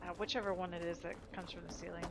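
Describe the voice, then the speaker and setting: A woman's voice: lowish voice, level tone, fast, very noisy. A woman giving casual gameplay commentary in a folksy way, saying y'all. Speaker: gaming video host. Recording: game music behind voice. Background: loud game music and sounds.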